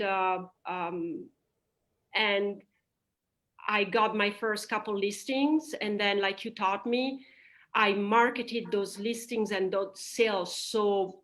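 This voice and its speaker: A woman whose first language is Italian, a real estate agent answering interview questions in a chatty way, with fillers and quick laughs. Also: medium pitch, a bit bright, low-quality recording.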